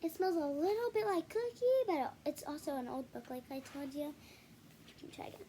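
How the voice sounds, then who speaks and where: A woman hosting a children's read-aloud video, reading a picture book with casual, halting, self-correcting asides to viewers. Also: high-pitched, warm tone, slight background noise. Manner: halting, self-correcting, apologetic while showing pages.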